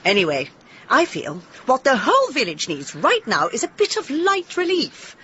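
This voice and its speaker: An actress playing a genteel, polite and flustered vicar's wife in a radio drama. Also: female voice, high voice, huge pitch swings, normal speed, sharp tone, full character voice. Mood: confiding. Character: genteel, fluttery, kindly vicar's wife in an English village. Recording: dull, hissy radio drama recording.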